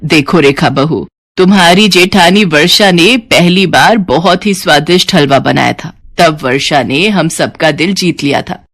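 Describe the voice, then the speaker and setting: A woman's voice: low voice, measured pace, a bit bright, exaggerated voice. A woman voicing an older mother-in-law in a storybook tale, an approving matriarch giving instructions. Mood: expectant, approving.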